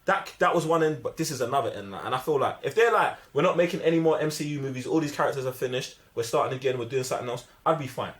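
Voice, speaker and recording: A man's voice: medium pitch, animated, rapid-fire, few pauses. A man with a London accent in casual, opinionated chat as a review co-host, full of slang, insistent and repeating himself for emphasis. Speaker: co-host of a film review show.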